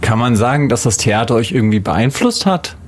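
A man's voice: medium pitch, lively intonation, fairly quick, slight background noise. Male radio interview host.

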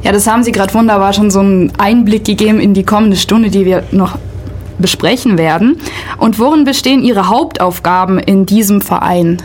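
A woman's voice: medium pitch, brisk, darkish tone, slight background noise. A woman hosting a radio program, introducing her studio guest and asking friendly, prompting questions. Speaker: radio show host. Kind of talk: radio interview.

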